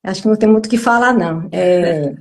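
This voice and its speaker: A woman's voice: medium pitch, fast, a little mellow. A middle-aged woman with a mid-range voice, a guest nutritionist. She speaks modestly and politely, with frequent small fillers. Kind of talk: live stream interview.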